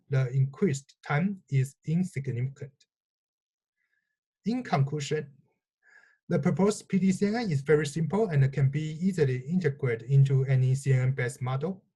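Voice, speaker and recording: Male research presenter, scripted and halting. Mellow, husky tone. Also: medium pitch, lively intonation.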